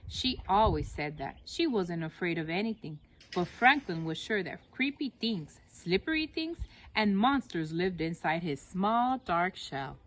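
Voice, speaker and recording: A woman narrating a children's story aloud as a storyteller for young listeners, with expressive, emphatic phrasing. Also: on the low side, unhurried.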